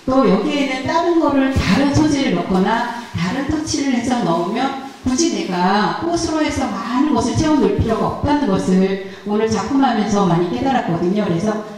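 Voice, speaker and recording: A woman's voice: fairly high pitch, animated, fairly quick, few pauses, darkish tone. A middle-aged woman explaining her flower arrangement at a church seminar in a chatty, self-deprecating way, speaking into a handheld mic. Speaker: flower arranging instructor presenting her work. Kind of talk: casual seminar talk. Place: large church hall, indoors. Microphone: handheld mic, heard through hall speakers. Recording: echoey hall, voice from far off.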